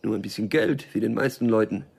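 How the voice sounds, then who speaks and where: An actor playing a stubborn, wary small-time drug dealer and loner in a radio crime drama, curt, dry and cynical. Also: male voice, lowish voice, fast talker, gravelly, darkish tone, slight background noise. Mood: cold, dismissive.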